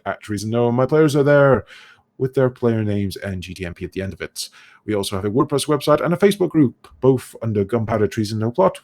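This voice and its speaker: Animated male game master, lower voice. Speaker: game master and podcast host. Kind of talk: casual post-game chat and sign-off.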